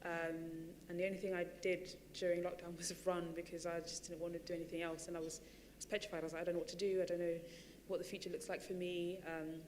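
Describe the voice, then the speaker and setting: A woman's voice: on the low side, level tone, fast talker, few pauses, a little mellow, long reverb tail. A woman and running coach telling her story at a panel talk, chatty and full of fillers and restarts. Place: large theatre stage.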